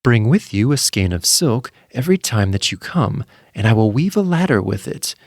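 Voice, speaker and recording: A man's voice: mid-range voice, big pitch swings, moderate pace, no echo, studio quality. A man voicing an innocent, trusting young maiden in a storybook, speaking earnestly and guilelessly. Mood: earnest, hopeful. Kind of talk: storybook character voice.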